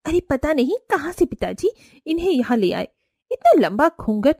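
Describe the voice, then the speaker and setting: A woman's voice: sits high, sing-song, moderate pace, dark tone, no room sound, clean studio sound, voice pushed up. A woman voicing an arrogant, spoiled young rich sister-in-law in a cartoon story, haughty and complaining. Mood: scornful, whining.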